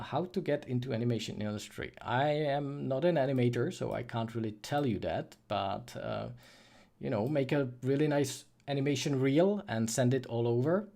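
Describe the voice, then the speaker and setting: A man with a Czech accent hosts a casual livestream. He talks informally and a little hesitantly, often rephrasing himself as he answers questions from viewers. His pitch sits in a middle range for a man. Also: vocal fry, dark tone. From Czech Republic. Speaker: livestream host, freelance 3D artist and course creator.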